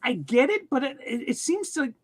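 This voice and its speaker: High-voiced man in halting casual chat. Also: sing-song, breathy edge.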